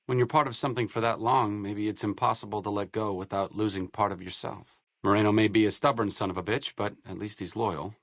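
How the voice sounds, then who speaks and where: An actor playing an idealistic, self-deprecating young wasteland doctor in a video game, speaking in an articulate, conversational way with dry wit. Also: male voice, on the low side, steady tone, brisk, hardly any pauses, dry recording. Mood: wistful, reflective. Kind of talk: video game dialogue acting.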